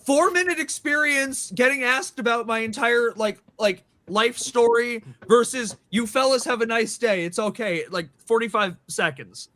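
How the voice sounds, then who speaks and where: A man's voice: extremely high pitch, moderate pace, few pauses, sharp tone, dry recording. A man telling a long, rambling story to friends with lots of fillers and restarts, acting out quoted dialogue. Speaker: band member and show regular. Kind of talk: casual storytelling among friends. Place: small room with several people around mics.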